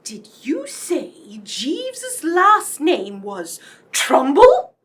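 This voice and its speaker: A woman acting as a tipsy lady sleuth from a comic radio mystery. Her voice swings extravagantly from high to low. She takes her time and teases with theatrical relish. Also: huge pitch swings, extremely slow, fairly bright tone, a little hiss or hum, full character voice. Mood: probing. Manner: theatrical, teasing.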